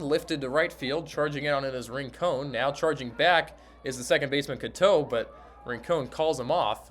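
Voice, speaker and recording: A man's voice: mid-range voice, fairly bright tone, a little hiss or hum. A man giving radio play-by-play commentary of a baseball game as the announcer.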